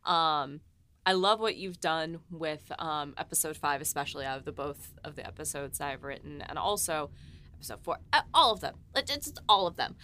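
A woman's voice: on the low side, a bit bright. A woman co-hosting a podcast about a series she writes for, asking questions in casual chat.

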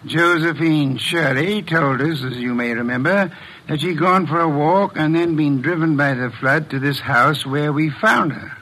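An actor playing a pompous, exacting middle-aged detective with precise, imperious diction in a classic radio mystery. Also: male voice, fairly high pitch, unhurried, bright, forceful tone, a little hiss or hum, rough recording. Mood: explaining, impatient.

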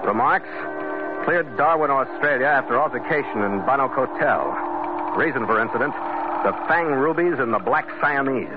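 An actor playing a tough sea captain with an American accent, narrating in clipped, matter-of-fact 1940s radio adventure style. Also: male voice, medium pitch, animated, normal speed, close to an everyday voice. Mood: measured, grim. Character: terse, tough male sea captain narrating his log. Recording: old narrowband radio recording with loud music.

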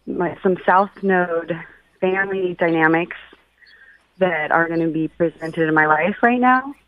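A middle-aged woman speaking reflectively and personally, haltingly searching for her words over a call. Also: lowish voice. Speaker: guest giving feedback on an astrology reading. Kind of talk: personal, reflective casual talk. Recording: phone-like call with some echo.

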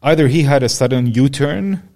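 A man's voice: mid-range voice, moderate pace, dark tone. A young man from Jordan hosting a podcast interview, talking in a casual, rambling way and swearing freely. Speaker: podcast host.

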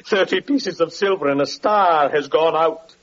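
An actor playing a bitter, lame, evil-faced wanderer with mocking, archaic declamation in an old-time radio drama. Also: male voice, fairly high pitch, normal speed, lightly acted. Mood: anguished raging. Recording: muffled, noisy vintage radio recording.

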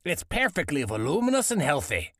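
Husky, swooping, grumpy dwarf. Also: male voice, fairly high pitch, huge pitch swings, brisk, a bit bright, no echo, clean studio sound, exaggerated voice. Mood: indignant. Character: grumpy, accusing bearded dwarf miner. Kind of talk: fantasy audio drama acting.

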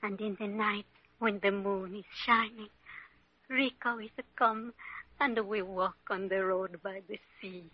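An actress playing a gentle, ailing middle-aged Italian mother, wistful and loving, in tender broken English with an Italian accent in an old radio drama. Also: medium pitch, fairly even intonation, a little slow, close to an everyday voice. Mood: wistful, fond. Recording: muffled old radio recording.

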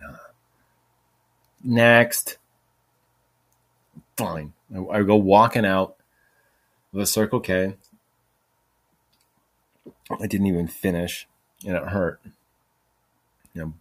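A man in his forties spins a long, wandering anecdote in a loose, chatty way. His voice sits a bit on the low side, and he keeps laughing at himself and cursing as he drifts off topic. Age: 40s. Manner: chatty, profane, self-mocking, drifts off topic. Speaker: podcast host. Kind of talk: rambling casual storytelling.

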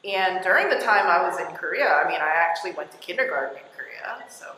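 A woman's voice: fairly low pitch, expressive, fast, pushed and bright. A woman interviewing a guest in a casual chat, filling her talk with likes and you knows. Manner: chatty, filler-heavy with like and you know. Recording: echoey hall with faint ambience.

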